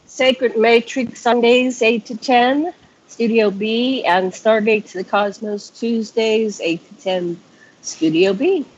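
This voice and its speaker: A woman radio host on a panel, relaxed and laughing, letting her thoughts trail off. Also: on the high side, slow, slightly rough, muffled recording. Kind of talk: casual radio panel talk.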